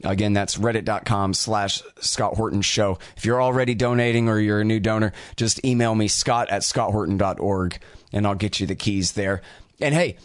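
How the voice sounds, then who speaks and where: A man with a somewhat low voice hosts a talk show, chatting in a loose, casual way full of fillers and asides. The sound is dry and close to the mic. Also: steady tone, normal speed, no room sound. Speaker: podcast host.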